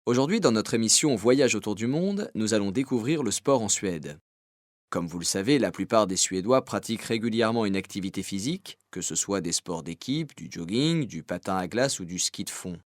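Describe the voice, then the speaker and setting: A man's voice: lowish voice, lively intonation, normal speed, dry recording, studio quality. A man presenting a scripted radio report as a narrator.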